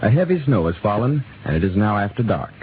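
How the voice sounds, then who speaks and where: A male radio announcer with a lively, swooping delivery reads the sponsor's pitch and sets the scene. He comes through a tinny 1930s broadcast with faint hiss behind. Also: fast. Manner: smooth, expressive salesmanlike delivery.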